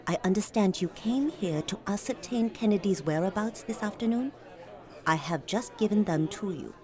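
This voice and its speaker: A woman's voice: mid-range voice, lively intonation, moderate pace, a little mellow, close to an everyday voice. A woman playing a poised, imperious lady in a noir audio drama, speaking formally with cool, clipped precision. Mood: cool, defiant. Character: poised, imperious, wealthy daughter of a doctor.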